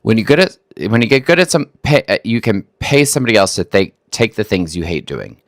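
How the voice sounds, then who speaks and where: Wry Texan man, lively skeptical commentary. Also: on the low side, dry recording, studio quality. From Texas. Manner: wry, skeptical, halting restarts. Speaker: reaction video host. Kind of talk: casual commentary on a clip.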